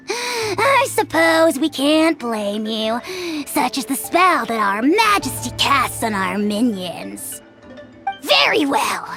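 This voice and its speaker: A pompous little dragon lord. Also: female voice, sits high, big pitch swings, slow pace, fairly bright tone, faint music behind, heavily acted. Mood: haughty. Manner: grandiose, mocking, uses royal we. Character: tiny pompous self-crowned dragon lord, haughty and theatrical. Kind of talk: video game voice acting.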